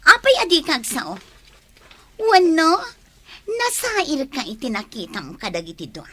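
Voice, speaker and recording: A woman's voice: high voice, very animated, a little slow, fairly bright tone, heavily acted. A woman acting an agitated, incredulous village woman with an Ilocano accent, exclaiming and scolding in a radio drama. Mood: indignant scolding.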